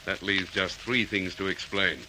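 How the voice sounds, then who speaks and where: An actor playing a methodical, courteous police detective in an Australian accent, in classic radio drama style. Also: male voice, low voice, steady tone, normal speed, pushed and bright, slight background noise, low-quality recording. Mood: businesslike, probing.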